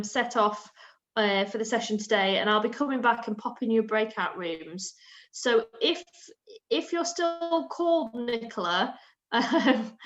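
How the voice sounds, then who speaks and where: A woman's voice: on the high side, fairly bright tone, highs cut off. A woman from North West England introducing herself as co-presenter of an online workshop, chatty with frequent fillers. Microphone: video call.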